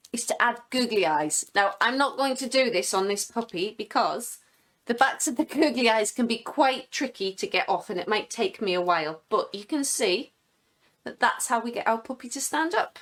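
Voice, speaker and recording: A woman giving step-by-step craft instructions in a clear, methodical way. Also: on the high side, lively intonation, normal speed, fairly bright tone.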